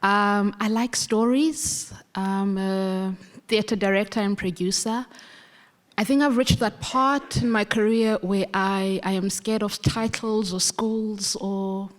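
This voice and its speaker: Slow-speaking South African woman. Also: medium pitch, steady tone. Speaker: theatre director. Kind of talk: conference panel introduction. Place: large conference hall. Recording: long hall reverb.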